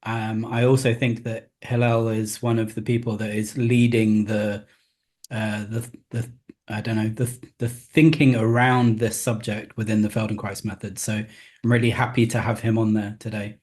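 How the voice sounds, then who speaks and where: A man's voice: lowish voice, level tone, moderate pace, a little mellow. A man hosting a podcast, introducing his guest in a loose, hesitant way with many false starts. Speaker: podcast host.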